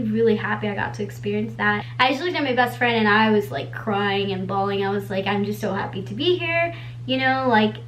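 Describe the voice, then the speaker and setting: A woman's voice: a little high, a little hiss or hum, low-quality recording. A young woman in her twenties, a native Spanish speaker, chatting casually at home while doing her makeup, rambling with lots of "like" and the odd swear word. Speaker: beauty vlogger.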